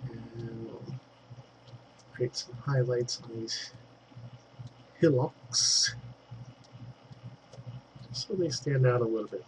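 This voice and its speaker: A man's voice: mid-range voice, takes it slowly, pauses often, slight background noise, poor audio. A man with a congested throat giving a casual digital painting tutorial, thinking aloud as he works and dropping in fillers. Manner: chatty, thinks aloud while working, trailing fillers.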